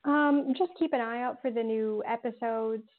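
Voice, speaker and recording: A woman's voice: fairly high pitch, fairly even intonation, normal speed. A woman talking casually as an interview guest, explaining things in a conversational way. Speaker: podcast guest, audio drama writer. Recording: thin phone-like call audio.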